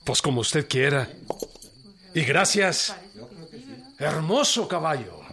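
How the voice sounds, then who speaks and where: An actor with a Mexican accent playing a dignified, reserved revolutionary general, terse yet gracious, in a radio drama. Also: male voice, on the high side, lively intonation, vocal fry, sharp tone, heavily acted. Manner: unhurried, terse.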